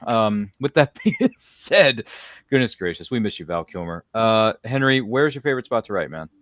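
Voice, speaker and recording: A man's voice: lowish voice, very animated, moderate pace, a bit bright, no room sound, clean studio sound. A man hosting a casual roundtable, chatty and jokey, drifting into slangy asides. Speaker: host. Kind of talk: casual roundtable hosting, reading viewer comments.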